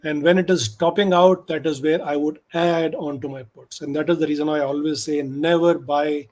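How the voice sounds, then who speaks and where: A man's voice: fairly high pitch, fairly even intonation, moderate pace, a little mellow. A man with an Indian accent, whose first language is Hindi, giving emphatic stock market commentary and mixing in Hindi. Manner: emphatic, switches between English and Hindi. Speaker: stock options trader.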